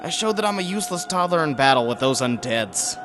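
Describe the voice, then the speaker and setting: A man's voice: fairly high pitch, lively intonation, brisk, lightly acted. A man playing a grumpy, reluctant monster-hunting hero in a comic audio drama, sarcastic and exasperated. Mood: exasperated, defensive. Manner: exasperated, stilted heroic phrasing with slangy gripes. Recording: music behind the voice.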